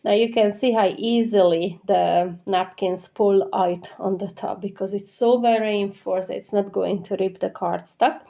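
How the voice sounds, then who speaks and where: A woman talks through a craft tutorial step by step in a dark, relaxed, mid-pitched voice. She sounds slightly nasal from a head cold. Her explaining is gentle and chatty, in an informal voice-over style. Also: a little slow, gravelly, hardly any pauses. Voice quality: stuffy nose, slightly nasal. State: congested with a cold.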